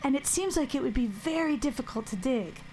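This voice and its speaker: Haunted, higher-voiced uneasy woman. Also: animated, brisk, dark tone, a little hiss or hum. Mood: insistent, anxious. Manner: hesitant, probing, pressing with questions. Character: troubled, haunted woman recovering from addiction, insistent and uneasy. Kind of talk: audio drama acting.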